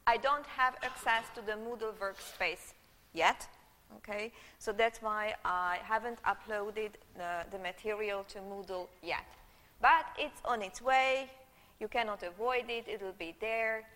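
A Hungarian woman in middle age, a native Hungarian speaker, lectures to a live class through a room microphone. Her voice is bright and forward, and her manner is chatty, with frequent check-ins with the audience. A long hall reverb trails her words. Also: mid-range voice, fairly even intonation, unhurried. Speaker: university lecturer.

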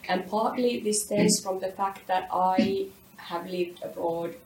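A woman's voice: medium pitch, fairly even intonation, slow, a little mellow. Woman researcher giving a conference talk, careful and a bit hesitant, roomy distant mic. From Finland. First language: Finnish. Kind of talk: academic presentation, semi-scripted. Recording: echoey room, distant mic, faint noise.

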